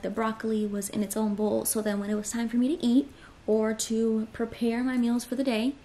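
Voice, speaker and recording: A woman's voice: on the high side, vocal fry, dark tone. A young woman in her twenties chatting casually about groceries and meal prep in a small apartment, talking with lots of fillers. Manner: chatty, filler-heavy, upbeat. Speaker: lifestyle vlogger. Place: small apartment living room.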